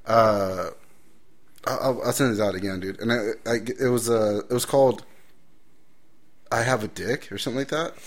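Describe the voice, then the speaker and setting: A man's voice: on the low side, moderate pace, long pauses. A man co-hosting a podcast with casual crude banter, rambling through anecdotes full of swearing and slang. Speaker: podcast co-host.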